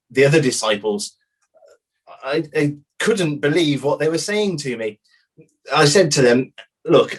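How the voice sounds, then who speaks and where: A man playing a candid, doubting disciple testifying as a witness in a dramatized inquest, husky, emphatic but halting as he starts. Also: mid-range voice, a bit bright, rough recording. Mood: doubting, disheartened.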